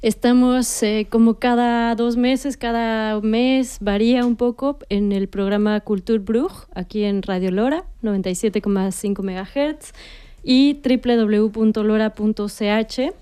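Smooth, mellow community radio host. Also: female voice, on the high side, steady tone, unhurried. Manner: hesitant, with fillers, reading a prepared introduction.

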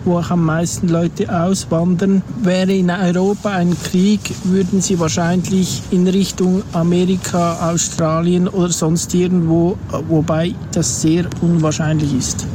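A man's voice: sits high, level tone, measured pace. A man answering a street interview, reasoning aloud in a matter-of-fact way. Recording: outdoor street noise.

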